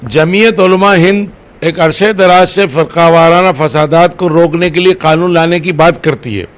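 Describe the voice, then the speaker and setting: A man answering interview questions as head of a religious organisation, deliberate and formally worded. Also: on the high side.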